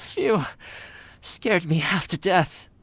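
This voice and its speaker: A man's voice: high-pitched, animated, slow pace, fairly bright tone, no room sound, full character voice. A man voicing a worried, friendly young delivery man in a video game, chatty and earnest. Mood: relieved, worried. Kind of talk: anime video game voice acting. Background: game music.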